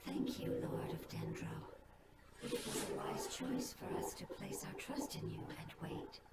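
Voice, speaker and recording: A woman voicing a solemn, gentle elemental creature in a video game, breathy and measured in formal, grave speech. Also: natural voice. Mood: solemn, calm. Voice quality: breathy, whispery. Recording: echoing, distant game audio under loud music. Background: loud game music.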